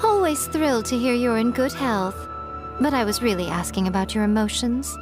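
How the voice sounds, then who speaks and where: A woman's voice: fairly high pitch, animated, music in the background, natural voice. A woman playing an elegant, sly fox shrine maiden in a video game, playful and wry. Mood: caring. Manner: playful, self-assured, wry, drawn-out phrasing. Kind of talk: English video game voice acting.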